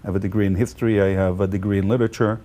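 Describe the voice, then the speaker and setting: A man from Israel with an Israeli accent and Hebrew as his first language, talking as a guest and tour guide in a halting way, full of fillers and restarts. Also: fairly low pitch, level tone, dark tone. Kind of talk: casual conversation.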